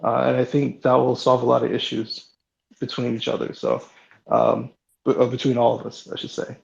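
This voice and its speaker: A sick man hosting a live online audio chat, talking in a relaxed, hesitant way with many ums and restarts. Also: mid-range voice, moderate pace, gravelly. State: sick, voice deeper than usual. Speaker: host. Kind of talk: casual closing remarks on a live audio chat. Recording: slightly dull lo-fi online audio.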